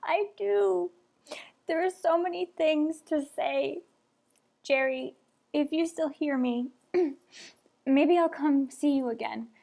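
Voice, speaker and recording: A woman's voice: high-pitched, slow, vocal fry, pauses often, warm tone, heavily acted. An actress playing a doomed young woman stowaway in an audio drama, tender, wistful and resigned. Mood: tearful, tender.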